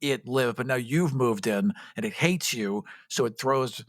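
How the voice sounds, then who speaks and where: A man's voice: mid-range voice, measured pace, no echo, studio quality. A man chatting casually and playfully as a co-host on a tabletop gaming podcast. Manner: playful, informal. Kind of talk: casual joking chat about tabletop games.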